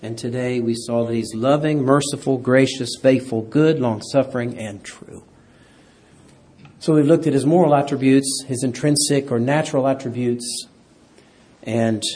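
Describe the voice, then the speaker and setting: A man's voice: mid-range voice, a little mellow, slightly rough. A man teaching a Bible class who keeps quizzing his listeners and asking them for an amen. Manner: quizzes the class, asks for amens. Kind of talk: interactive Bible lesson.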